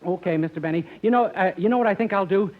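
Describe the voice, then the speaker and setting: A man's voice: sits high, quick pace, close to an everyday voice. An actor playing a silly, naive young male singer who is eager to please, in 1950s radio comedy. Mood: naive, obliging. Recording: tinny old radio broadcast.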